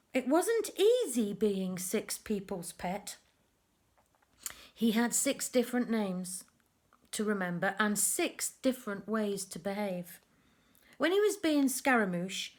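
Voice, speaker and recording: A woman reading a picture book aloud to young children, with expressive, deliberate emphasis and chatty asides about the pictures. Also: medium pitch.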